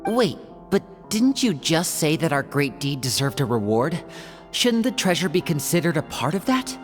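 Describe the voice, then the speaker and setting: A woman's voice: sits low, sing-song, normal speed. An actress playing a thoughtful, questioning female adventurer in a fantasy game. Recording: long hall reverb, music underneath.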